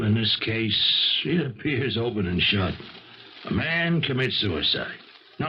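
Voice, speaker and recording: Wry, low-voiced police lieutenant, muffled recording. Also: male voice. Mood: knowing. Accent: American. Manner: folksy, wry, leading questions. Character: shrewd, insinuating male police lieutenant spinning a theory. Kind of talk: 1970s American radio mystery acting. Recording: muffled, hissy 1970s radio recording.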